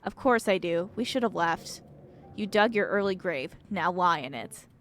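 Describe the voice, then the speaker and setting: A woman's voice: fairly low pitch, animated, moderate pace, slight background noise. A woman voicing a stubborn, sarcastic woman who runs a mine, in a curt, dry manner, in a video game. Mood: dry, bitter.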